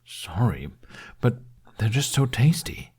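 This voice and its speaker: A man's voice: mid-range voice, very animated, takes it slowly, mellow, breathy edge, heavily acted. A man voicing a cheeky, gluttonous male dragon who teases and plays innocent in a storybook. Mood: feigned innocence. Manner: cheeky, teasing.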